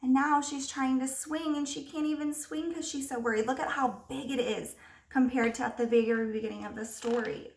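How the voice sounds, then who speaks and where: A woman teacher reading a picture book aloud to young children, expressively, pausing to ask them simple questions. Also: sits high, fairly even intonation, vocal fry. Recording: roomy echo, distant microphone.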